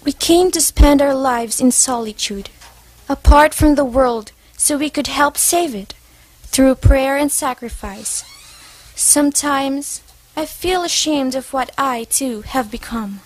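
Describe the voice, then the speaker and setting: Woman playing disillusioned nun, very high voice, earnest, declamatory, stage drama. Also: slow, soft background music, low-quality recording. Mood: earnest, disapproving. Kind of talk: amateur stage play acting.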